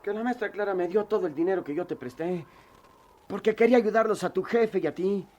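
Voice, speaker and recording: An actor playing a mischievous, good-hearted skinny young pal in a radio drama, colloquial and frank, with a Mexican accent. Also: male voice, sits high, brisk, a little mellow, muffled recording, close to an everyday voice. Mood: earnest.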